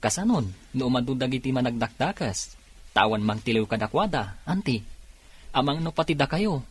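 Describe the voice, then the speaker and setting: Man playing a husky, earnest young policeman. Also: mid-range voice, expressive, normal speed. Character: earnest young policeman, devoted son and suitor. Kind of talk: radio drama acting.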